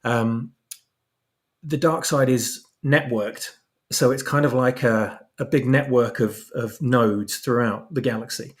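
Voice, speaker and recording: A man's voice: mid-range voice, steady tone, slow. A man talking as an interview guest and writer, explaining his ideas thoughtfully and searching for the right words. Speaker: guest, fan fiction writer.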